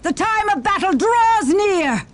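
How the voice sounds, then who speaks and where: A woman's voice: very high voice, expressive, measured pace, background noise. A woman playing a zealous, commanding leader of battle nuns in a video game, pious and grandiose in manner. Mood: resolute. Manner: grandiose, devout, imperious. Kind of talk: theatrical video game voice acting. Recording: loud game music behind.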